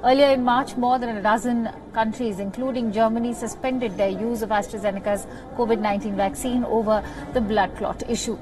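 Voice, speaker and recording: A woman's voice: a little high, fairly even intonation, brisk, a crowd in the background, poor audio. A woman presenter reading scripted news narration in a formal register.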